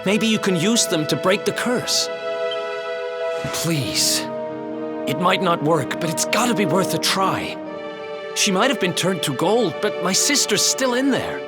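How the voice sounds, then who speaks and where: A man's voice: high-pitched, lively intonation, unhurried. An actor playing an earnest young adventurer and devoted brother in a fantasy video game, informal and emotional in his delivery. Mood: earnest pleading. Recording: music behind the voice.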